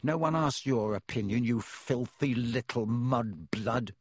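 A man's voice: mid-range voice, a little slow, slightly rough, dry recording. Man as sneering rich schoolboy, smug drawl, spiteful, audiobook character, dry sound.

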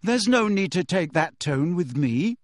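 A man's voice: on the high side, animated, normal speed, no room sound, studio quality, close to an everyday voice. A man voicing a plucky, cool-headed teenage girl in an audiobook, with a direct manner. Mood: uncertain, cool. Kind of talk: audiobook character voice.

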